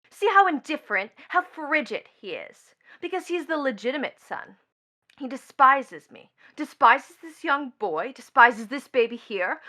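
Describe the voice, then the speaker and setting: An actress playing a brazen, scornful young stepdaughter in a radio stage play, theatrical and mocking, with sudden swells and drops. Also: female voice, high voice, bright, forceful tone, full character voice. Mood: scornful, furious.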